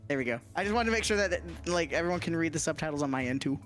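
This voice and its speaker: A man with a slightly high voice talks informally on a game stream. His intonation swings freely as he jokes in gamer slang. Faint music plays behind him. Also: lively intonation, rapid-fire, a bit bright. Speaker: co-streamer and guest voice actor. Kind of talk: casual livestream chat and game narration.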